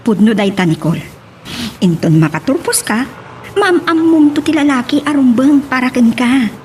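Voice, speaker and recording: A woman's voice: high-pitched, animated, normal speed, darkish tone. An actress playing a blunt, protective young female friend in an Ilocano radio drama, speaking emphatically. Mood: concerned. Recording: music under the dialogue.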